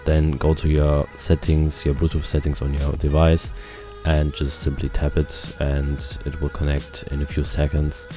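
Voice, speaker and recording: A man giving a casual product review, explaining things in simple, halting phrases. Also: rumbling low, monotone, over music.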